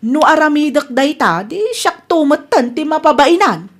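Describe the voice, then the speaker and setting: A woman's voice: sits high, animated, normal speed. A woman with an Ilocano Filipino accent acting a flustered village wife in a radio comedy drama. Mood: indignant. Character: flustered, blame-casting village wife, later apologetic.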